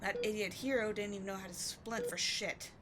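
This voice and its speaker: A woman's voice: mid-range voice, fairly even intonation, fairly quick, noisy background, natural voice. A woman playing a sardonic, commanding supervillain in a scripted audio roleplay, biting and contemptuous as she tends a captive sidekick. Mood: brisk, scornful.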